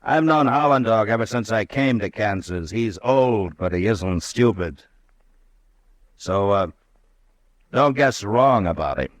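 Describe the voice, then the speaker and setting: An actor playing a calm, firm frontier marshal in a 1950s radio drama. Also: male voice, dry recording. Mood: steady. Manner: measured, firm, low-key.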